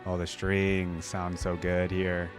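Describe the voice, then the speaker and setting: A man's voice: low-pitched, completely flat delivery, slow, over music. A man giving casual reaction commentary as a host, speaking informally and thinking aloud.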